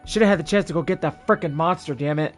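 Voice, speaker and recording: A man's voice: a little high, fast talker. A tired man giving casual livestream gameplay commentary, slangy, with mild swearing and muttered asides. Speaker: game streamer. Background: game music.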